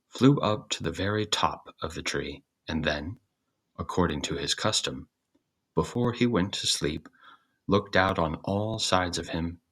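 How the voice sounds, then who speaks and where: Deep, slow-reading male storyteller. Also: pushed and bright. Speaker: storyteller, reader. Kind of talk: scripted fairy-tale narration.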